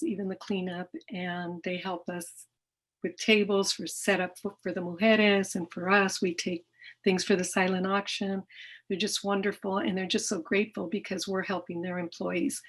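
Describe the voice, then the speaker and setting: A middle-aged woman giving an earnest presentation to a club about her nonprofit's work, with many fillers and restarts. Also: on the low side. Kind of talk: presentation talk with slides.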